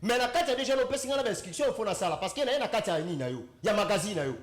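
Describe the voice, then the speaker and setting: A man speaking as a debate guest in a rambling, halting way. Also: high voice, lively intonation, reverberant. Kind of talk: political debate.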